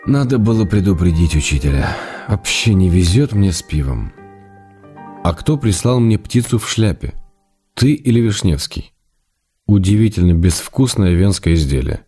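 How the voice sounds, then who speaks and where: A man's voice: low voice, expressive, normal speed, warm tone, soft background music. An actor playing an affectionate, wry writer reading his intimate letters to his wife in a radio drama. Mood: wry grumbling.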